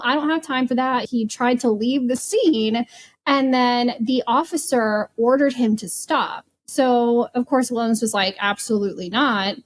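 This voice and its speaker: A woman co-hosting a podcast, telling true crime stories casually with a wry, giggly manner. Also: fairly high pitch. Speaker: podcast co-host.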